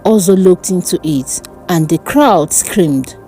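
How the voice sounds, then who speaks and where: Woman telling a spooky folk story, slow n theatrical, swoopy pitch, music behind. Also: lively intonation, dark tone. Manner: deliberate, theatrical. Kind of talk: dramatic scripted narration of a dark folk tale.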